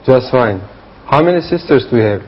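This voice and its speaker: A man's voice: mid-range voice, lively intonation, brisk, a little hiss or hum, natural voice. A man playing a young English student from Turkey, speaking careful simple sentences in a scripted classroom dialogue. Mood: easygoing, careful. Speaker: language student. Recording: slightly dull lo-fi audio.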